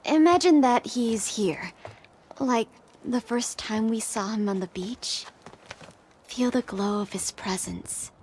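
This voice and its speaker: A woman's voice: fairly high pitch, takes it slowly, a little mellow, a little hiss or hum. A woman playing a devout cult follower in a video game, instructing with serene, entranced intensity. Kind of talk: video game cutscene acting.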